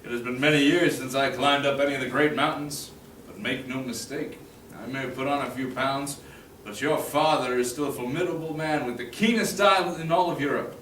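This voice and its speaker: A man's voice: mid-range voice, brisk, fairly bright tone, a little hiss or hum, close to an everyday voice. A man voicing a proud, boastful aging hero at a table read, declaiming in a roomy room with a distant mic.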